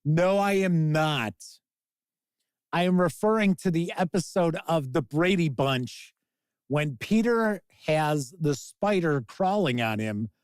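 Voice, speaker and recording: A man's voice: a little high, expressive, slow pace, smooth, dry recording. A man with a Chicago accent hosting a casual livestream of tabletop gaming news, chatty and jokey as he talks with his chat. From Chicago, USA. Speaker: host.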